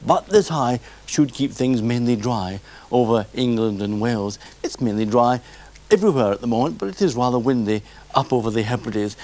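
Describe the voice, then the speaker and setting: A man's voice: mid-range voice, a little mellow. A man presenting a television weather forecast in a conversational way.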